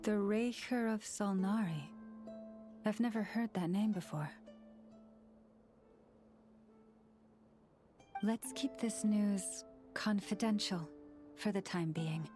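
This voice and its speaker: Woman as a solemn priestess, mid-pitched, over music. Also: warm tone, natural voice. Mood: grave. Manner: formal, measured, earnest. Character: solemn, resolute young priestess leading a faith. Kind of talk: game voice acting. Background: game music.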